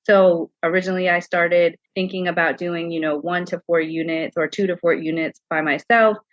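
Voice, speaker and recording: A middle-aged American woman speaks as a podcast guest in a slightly low voice. She answers in a casual, conversational way. The audio is dry and a little dull. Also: fairly even intonation, normal speed, no room sound.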